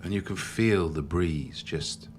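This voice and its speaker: Male meditation guide, deep voice, soothing and unhurried, leaving long pauses, soft music underneath. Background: background music.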